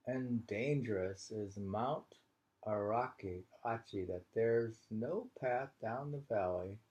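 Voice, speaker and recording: A man reads poems and muses over them informally, halting as he thinks aloud. His delivery is very slow, in a mellow voice with a husky, creaky grain. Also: on the low side, poor audio. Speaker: reader and commentator of poetry. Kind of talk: poetry reading with informal commentary.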